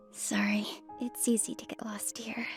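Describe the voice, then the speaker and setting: Horror game ghost child: high, slow, hushed, absent-minded and wistful, music beneath. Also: female voice, level tone, in a whisper. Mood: dreamy, sad. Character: wistful, lonely ghost of a little girl. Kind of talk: visual novel game voice acting.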